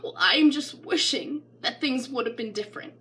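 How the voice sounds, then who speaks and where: Bright, high-voiced woman reciting poetry dramatically. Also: animated, moderate pace, slight background noise. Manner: intense, emotional, theatrical, swinging between hushed and crying out. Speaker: poetry reader.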